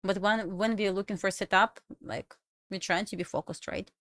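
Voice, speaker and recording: A woman with a Russian accent, a native Russian speaker, chatting casually on a trading livestream in halting English with restarts. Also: medium pitch, fairly even intonation, fairly bright tone, dry recording, studio quality. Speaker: livestream trader.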